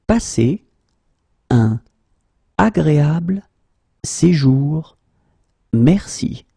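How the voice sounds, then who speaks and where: Very slow, gravelly male teacher. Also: a little high, mellow, no echo, studio quality. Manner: slow, repeats each phrase word by word. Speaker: French teacher. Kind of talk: pronunciation drill.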